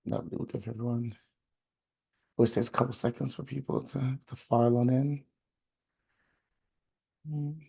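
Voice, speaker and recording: A young man with a hoarse voice from a chest infection, presenting a webinar over a video call in a halting, friendly way with many filler sounds. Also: mid-range voice, fairly even intonation, a little mellow. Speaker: outreach coordinator.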